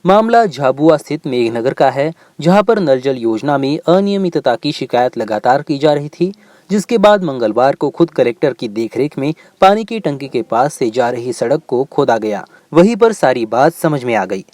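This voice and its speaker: A man's voice: medium pitch, lively intonation, normal speed, a little mellow, dry recording. A man delivering scripted news narration as a voice-over reporter.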